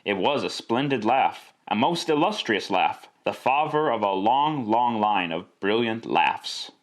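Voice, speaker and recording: A man's voice: fairly low pitch, animated, a little slow. A man narrating a Christmas tale in classic radio style, wry and theatrical, savouring each list and repetition. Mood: amused.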